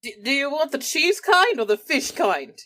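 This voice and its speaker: A woman's voice: sits high, sing-song, normal speed, a bit bright. A woman playing a tabletop game, talking casually as she improvises her character's words and actions. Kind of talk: casual improvised role-play chat.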